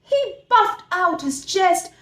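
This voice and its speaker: Very high-pitched, animated woman storyteller. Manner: dramatic, drawn-out emphasis. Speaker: children's storyteller. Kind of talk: expressive storytelling for children. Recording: echoey room.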